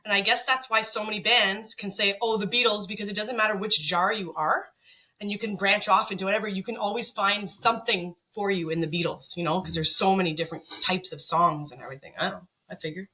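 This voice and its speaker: Casting note: woman singer and guest, bright tone, lively storyteller. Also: mid-range voice, fast, low-quality recording. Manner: chatty, animated storytelling. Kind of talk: casual interview chat.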